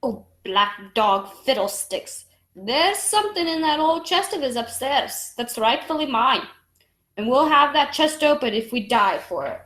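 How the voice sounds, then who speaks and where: A woman's voice: sits high, animated, a little slow, a bit bright, echoey room. A woman playing an indignant, stubborn widowed mother and innkeeper in a radio play, with dramatic, determined delivery.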